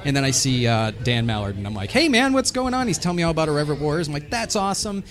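Animated joking male podcast host. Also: fairly high pitch, racing. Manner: sarcastic, teasing, swears freely. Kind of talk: casual joking chat.

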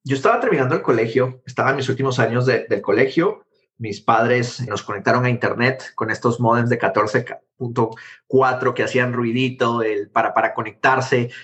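A man from Guatemala in his thirties, an interview guest telling his story in a quick, animated conversational way. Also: medium pitch. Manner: animated, quick, jumps between anecdotes. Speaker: interview guest, tech founder.

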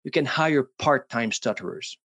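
A man from French Canada, whose first language is French, talking as a guest on an interview show in a jokey, animated way with some restarts. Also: medium pitch, moderate pace. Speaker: guest, public speaking coach. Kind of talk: casual interview talk. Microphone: studio headphones and mic.

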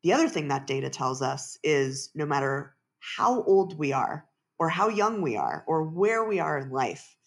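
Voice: mid-range voice, very animated, moderate pace, hardly any pauses. An author and researcher answering interview questions in a thoughtful, explanatory way, with frequent restarts.

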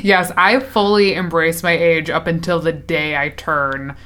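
A woman's voice: low-pitched, steady tone, unhurried, fairly bright tone. A woman in her late twenties co-hosting a podcast in casual chat, talking with lots of fillers and laughter. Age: 20s. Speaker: podcast co-host.

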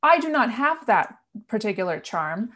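A woman's voice: fairly high pitch, sing-song, moderate pace, a bit bright. A woman from New York with a transatlantic New York accent, a podcast guest who is upbeat and laughs often. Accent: New York American, transatlantic. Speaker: guest, business owner and coach. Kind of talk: casual podcast interview.

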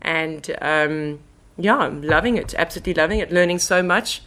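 A woman's voice: deep voice, moderate pace, fairly bright tone. A woman with a South African accent, chatting informally as a guest in a casual podcast interview. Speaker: interview guest, marketing manager.

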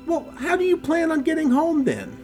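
A man voicing an anxious, excitable New York mother fussing over her grown son in an audiobook story. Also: very high-pitched, normal speed, faint music behind, voice pushed up, heavily acted. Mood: worried, insistent. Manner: excitable, insistent.

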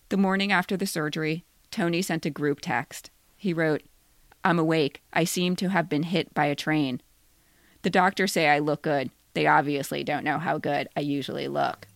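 A middle-aged American woman reading a scripted personal story in a measured way, in short plain sentences. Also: low-pitched, fairly bright tone, no echo. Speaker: podcast co-host reading their own essay.

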